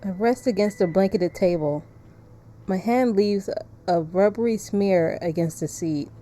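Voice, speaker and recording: A woman with a soft-edged, mellow voice streams a narrative game. She moves between casual commentary and reading the text aloud, at a middling pitch for a woman. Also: a little slow. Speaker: streamer playing a visual novel.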